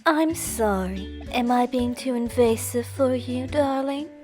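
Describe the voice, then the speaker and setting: A woman's voice: a little high, unhurried, a little mellow, faint music behind, pitched above the performer's own voice, close to an everyday voice. A woman voicing a doting, teasing, affectionate young woman in an amateur visual novel. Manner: affectionate, cooing.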